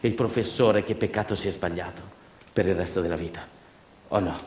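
A man performing a theatrical storytelling monologue for radio theatre, emphatic and repetitive, moving between hushed asides and louder delivery. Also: deep voice, a little fast, a little hiss or hum. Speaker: stage actor and monologue storyteller. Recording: roomy echo, music behind.

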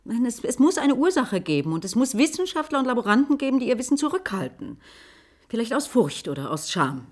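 An actress playing a grave, earnest doctor as expert guest in a radio play, speaking emphatically and with worry. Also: female voice, on the high side, sing-song, a little fast, a little mellow. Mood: worried, urgent. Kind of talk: radio play studio discussion.

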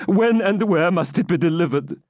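A frightened old moneylender begs and bargains in a thin, raised voice, played by a man reading aloud. His pleas climb high and waver widely. The sound is dry and close. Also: expressive, normal speed, a little mellow, no echo. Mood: pleading. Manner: timid, pleading. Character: fearful old male moneylender, pleading.

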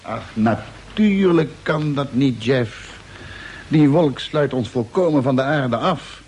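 A man's voice: mid-range voice, expressive, takes it slowly, a little mellow. An actor playing a matter-of-fact spaceship crewman in an old-style radio play. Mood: explaining. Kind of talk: Dutch radio play acting, mid-century. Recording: noisy old radio drama recording.

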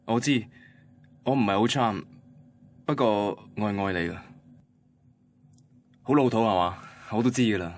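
A man's voice: fairly low pitch, moderate pace, pauses often, slight background noise, natural voice. An actor playing a heartbroken young man recounting his first love in a radio drama, wistful and self-deprecating, with a Hong Kong Cantonese accent. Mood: wistful, sad. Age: young adult. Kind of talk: radio drama narration and dialogue.

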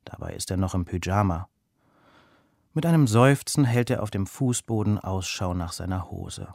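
A man's voice: sits low, normal speed, creaky, no room sound, clean studio sound. A man narrating a scripted children's story. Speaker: story reader. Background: loud plucked guitar music.

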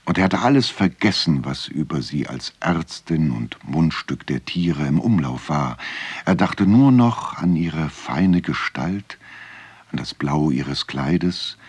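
A man narrating a village legend in a radio play as a measured storyteller with a husky voice. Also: a bass voice, dry recording, full character voice. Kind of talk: scripted literary tale narration.

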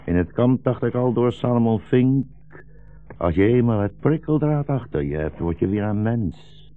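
An actor playing a weary, bitter old blind rabbi fleeing war, solemn and brooding, in a 1960s Dutch radio drama. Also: male voice, medium pitch, lively intonation, normal speed, no room sound, soft background music, natural voice. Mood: weary resignation. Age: older. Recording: dull, muffled 1960s radio recording.

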